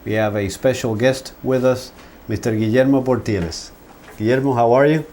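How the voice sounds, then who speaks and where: A man hosting a local arts interview show, talking in a relaxed way with frequent fillers. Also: medium pitch, normal speed. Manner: friendly, relaxed with filler words. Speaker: host. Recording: faint background noise.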